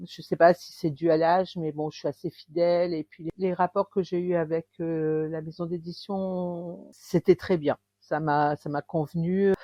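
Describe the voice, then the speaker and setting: Woman in her fifties, from northern France, hesitant and halting, lowish mellow voice, dry sound. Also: no echo, lo-fi sound. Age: 50s. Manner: hesitant, many pauses and restarts. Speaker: romance author, guest. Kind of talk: casual interview chat.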